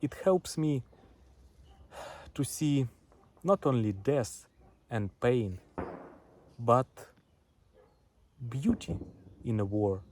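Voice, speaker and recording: A man with a Ukrainian accent giving a deliberate spoken address to a poetry audience in short, separated phrases. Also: mid-range voice, lively intonation, darkish tone, slight background noise. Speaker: poet and front-line witness sending a message.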